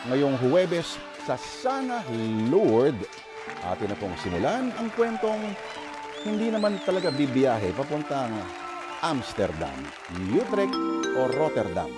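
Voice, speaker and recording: Slow, theatrical man narrating radio drama. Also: on the high side, very animated, crowd noise. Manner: slow, theatrical, tongue-in-cheek. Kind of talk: dramatic scripted story introduction. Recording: music behind the voice.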